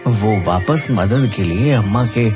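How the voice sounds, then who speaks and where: Low-voiced male storyteller over music. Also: expressive, slow pace. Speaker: storyteller narrator. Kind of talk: scripted moral-story narration.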